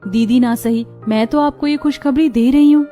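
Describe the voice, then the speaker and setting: A woman voicing a gentle, polite, dutiful young mother in a cartoon family drama. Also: high-pitched, moderate pace, soft background music, lightly acted. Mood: caring. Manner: polite, respectful.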